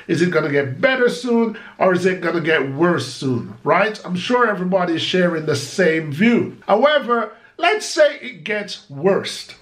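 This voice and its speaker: A Jamaican man in his forties, a vlogger chatting casually to camera from a small home office, animated and gesturing as he talks. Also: fairly high pitch. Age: 40s.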